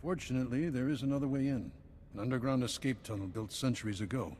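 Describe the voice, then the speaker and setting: Game cast: man as a steady Jedi master, solemn delivery, long reverb. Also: medium pitch, fast, slight background noise, natural voice. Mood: calm. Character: wise male Jedi master, calm and steady. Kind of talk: game voice acting.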